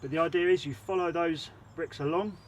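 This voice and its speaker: Man, sore throat, rambling tutorial talk, slightly higher voice, outdoor building site. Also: steady tone, fairly quick, slight background noise. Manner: chatty, rambling, self-admittedly waffles. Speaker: bricklayer teaching on his channel. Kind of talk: casual how-to tutorial.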